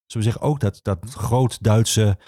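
Male expert guest: dark, soft-edged delivery, very dry close sound, halting explanatory phrasing. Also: fairly low pitch, no echo. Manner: halting, hesitant phrasing with self-corrections. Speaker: archivist, podcast guest. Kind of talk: explanatory interview talk on history.

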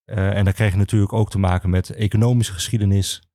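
A man, an expert guest explaining things in a measured, hesitant interview style. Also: lowish voice, steady tone, warm tone, no room sound, studio quality. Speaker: guest, archivist and historian.

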